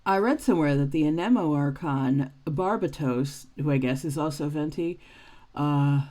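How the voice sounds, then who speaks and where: A woman's voice: deep voice, unhurried, mellow. A woman in her forties giving casual, off-the-cuff reaction commentary as a video host, wry and given to sighs. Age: 40s.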